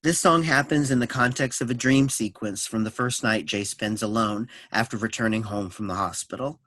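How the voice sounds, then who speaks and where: A man's voice: fairly low pitch, normal speed, a bit bright, no echo. A man reading scripted narration and stage directions for a staged reading of a play.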